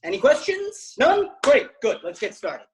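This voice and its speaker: Bossy, animated teen boy director. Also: very high-pitched, a little slow, a little hiss or hum, exaggerated voice. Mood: bossy, earnest. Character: bossy, earnest teenage boy amateur film director. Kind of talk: sitcom script table read. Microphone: video call.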